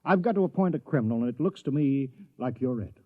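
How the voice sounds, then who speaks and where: A man's voice: mid-range voice, lively intonation, fast, close to an everyday voice. Guy acting a flustered fussy small town mayor, bouncy intonation, muffled old radio play recording. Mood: coaxing. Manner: flustered, pleading, earnest, folksy. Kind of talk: 1950s radio drama acting.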